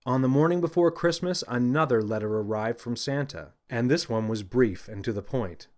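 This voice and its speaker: A man narrating a scripted children's story in the first person, with an expressive, confiding storyteller manner. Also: mid-range voice, moderate pace. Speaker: audiobook narrator and author.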